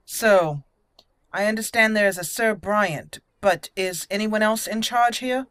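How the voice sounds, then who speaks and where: Bright-voiced woman hosting a game playthrough. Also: medium pitch, a little slow, smooth, no echo. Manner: chatty, playful asides. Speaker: gaming video host. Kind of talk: roleplay game commentary, partly reading dialogue choices aloud.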